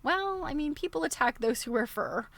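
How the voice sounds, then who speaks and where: A woman's voice: high voice, lively intonation, moderate pace, fairly bright tone, no echo. A woman hosting a casual livestream, reading aloud from a book and digressing into chatty, easily amused commentary. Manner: chatty, digressive, often breaking into chuckles.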